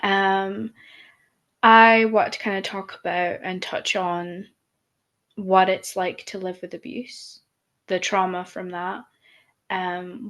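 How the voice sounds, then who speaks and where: A woman's voice: fairly low pitch, a bit bright. A woman co-hosting a podcast in a casual chat, hesitant, with many ums and broken-off sentences. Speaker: podcast co-host. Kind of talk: casual conversational podcast talk.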